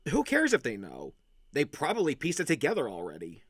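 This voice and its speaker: A man's voice: fairly high pitch, huge pitch swings, a little fast, breathy edge, no echo, clean studio sound, exaggerated voice. A man playing an awkward, chatty young werewolf in a casual audio roleplay, rambling and blurting like a cheeky little brother. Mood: defiant, teasing.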